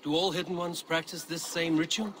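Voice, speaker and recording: An actor playing a proud, skeptical Viking warrior in a video game, with a dry, wry delivery and old-fashioned phrasing. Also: male voice, fairly high pitch, fairly even intonation, brisk, a bit bright, a little hiss or hum, lightly acted. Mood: sincere. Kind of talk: video game cutscene acting.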